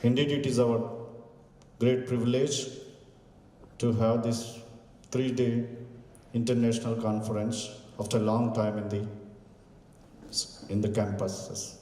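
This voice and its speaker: A man's voice: medium pitch, level tone, measured pace, pauses often, dark tone. A man gives a formal welcome speech at a university conference and ceremoniously greets the dignitaries. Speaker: university faculty member giving welcome address. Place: large conference hall. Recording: echoey hall, distant mic.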